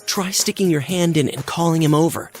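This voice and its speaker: An American man voicing an earnest, excitable teenage schoolboy in a video game, talking in a casual, expressive way. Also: a little high, brisk, lightly acted. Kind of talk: English video game voice acting. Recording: background music and crowd chatter behind.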